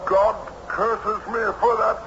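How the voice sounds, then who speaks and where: Driven lost traveler, agitated archaic speech, confused and weary, dull noisy old radio recording. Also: male voice, high-pitched, flat delivery, takes it slowly. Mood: agitated, bewildered. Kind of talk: vintage radio drama acting.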